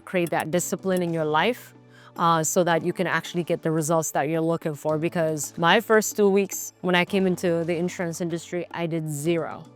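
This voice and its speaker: A woman in her thirties with an Ethiopian accent, telling her personal story conversationally as an interview guest, often checking in with "right?". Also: lowish voice. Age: 30s. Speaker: insurance agency owner, interview guest.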